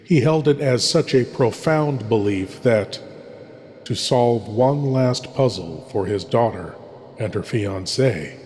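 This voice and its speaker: A man hosting a horror show, narrating from a script in a dramatic, measured, theatrical way. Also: mid-range voice, expressive, a little mellow. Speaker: horror host. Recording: long cavernous reverb.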